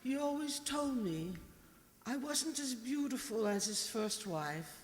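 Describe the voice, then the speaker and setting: A woman acting an ailing, sharp-tongued elderly mother in a radio drama, imperious, urgent and blunt. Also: a little high, lively intonation, slow, slight background noise. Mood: reflective, insistent. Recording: very echoey hall, long reverb.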